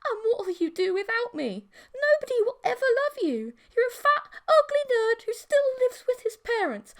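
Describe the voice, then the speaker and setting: A woman performs a monstrous siren girlfriend from an audiobook. She is possessive and enraged, yelling in a very high voice. Her delivery is scornful and desperate, meant to manipulate. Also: very animated, moderate pace, exaggerated voice. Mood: cruel scorn. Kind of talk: dramatic audiobook character voice.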